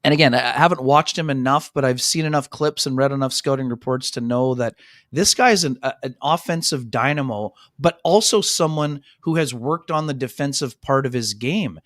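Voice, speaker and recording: A man's voice: medium pitch, normal speed, fairly bright tone, no room sound, studio quality. Chatty male hockey radio co-host. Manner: chatty, many "you know" fillers and restarts; addresses co-hosts as "fellas". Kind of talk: casual sports talk radio chat. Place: radio studio.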